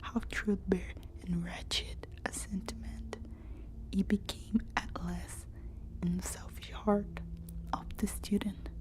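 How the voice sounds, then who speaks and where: A woman reading a story aloud as whispered ASMR narration, in short, deliberate phrases. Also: medium pitch, little pitch movement, rough recording. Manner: slow, deliberate delivery in short, separated phrases.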